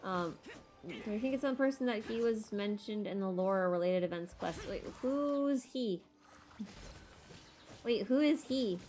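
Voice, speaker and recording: A woman's voice: mid-range voice, unhurried, a little mellow. A woman hosting a livestream, answering viewer questions in a casual, opinionated way as she thinks aloud, with informal, emphatic phrasing. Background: background music.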